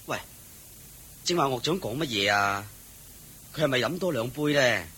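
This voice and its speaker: Meek poor husband, pleading, swingy pitch, bright voice, cantonese radio drama with faint noise. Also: male voice, animated, normal speed. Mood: puzzled. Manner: polite, pleading, incredulous. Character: poor, sickly, meek husband, bewildered and hurt.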